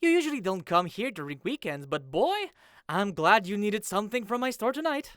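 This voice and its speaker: Animated, friendly shopkeeper. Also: male voice, sits high, brisk, no room sound, clean studio sound. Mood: grateful. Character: friendly, chatty male shopkeeper. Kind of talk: audio drama acting.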